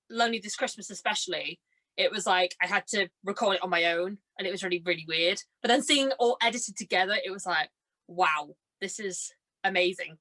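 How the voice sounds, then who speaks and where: A woman's voice: medium pitch, fairly bright tone. A young woman talking casually as an interview guest, telling stories in a chatty way and acting out her reactions. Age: young adult. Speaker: interview guest, amateur actor and cosplayer. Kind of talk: casual conversational chat.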